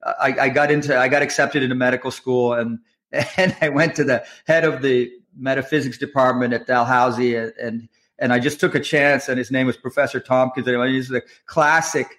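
A man's voice: medium pitch, fairly bright tone. A man from Nova Scotia, a doctor turned filmmaker, telling his story in a loose, frank way and laughing easily. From Canada, Nova Scotia. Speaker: guest filmmaker. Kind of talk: casual storytelling interview.

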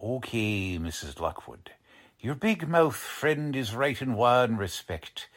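An actor playing a gruff, sarcastic, tough police lieutenant with an American accent in 1940s radio style, blunt and slangy. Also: male voice, mid-range voice, lively intonation, takes it slowly, fairly bright tone. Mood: sarcastic, bullying.

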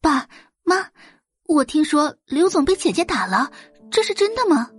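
A woman's voice: high voice, very animated, normal speed, dry recording, studio quality, soft background music, voice pushed up. A woman voicing a sweet, scheming young daughter in an audio drama, solicitous and dutifully meek. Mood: concerned.

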